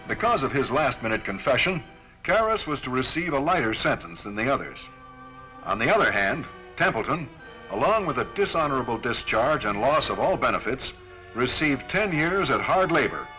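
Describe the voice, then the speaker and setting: A man's voice: mid-range voice, big pitch swings, brisk, bright, forceful tone, faint music behind, poor audio. An American man delivering stern, formal, authoritative scripted narration as presenter of a Cold War-era military training film.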